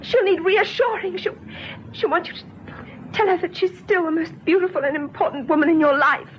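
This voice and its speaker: An actress playing a despairing young mother in an old radio drama, bitter yet gentle, speaking in an earnest, halting way. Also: extremely high pitch. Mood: tender, wistful. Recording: dull, faintly noisy old radio recording.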